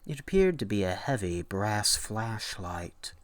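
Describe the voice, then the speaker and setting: A man narrating in a deliberate, theatrical way, as a dramatic reader of horror stories. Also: fairly low pitch, big pitch swings, dry recording. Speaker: narrator of horror story readings.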